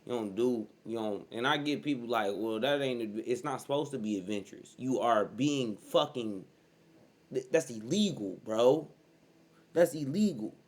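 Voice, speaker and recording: A man's voice: mid-range voice, animated, normal speed, darkish tone. Blunt, profane man in his thirties. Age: 30s. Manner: blunt and profane, keeps saying "bro". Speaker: host reacting to a video. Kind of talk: casual reaction commentary.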